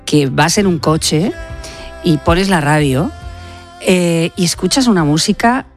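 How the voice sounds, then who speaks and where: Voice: mid-range voice, slow, soft background music. Radio presenter announcing an upcoming album. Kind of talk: scripted introduction and casual chat.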